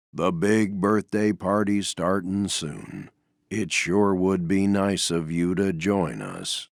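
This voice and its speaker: A man voicing a gloomy, mild-mannered toy donkey for a video game, with a deep, gravelly voice and a glum, resigned, self-deprecating drawl. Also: no echo, clean studio sound, exaggerated voice. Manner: slow, glum, resigned drawl with downcast understatement. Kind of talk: video game character voice lines.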